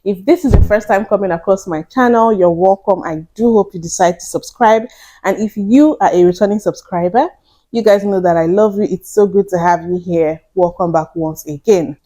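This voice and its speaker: A young woman vlogger giving casual, animated commentary straight to her viewers, often incredulous. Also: medium pitch, normal speed. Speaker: reaction vlogger.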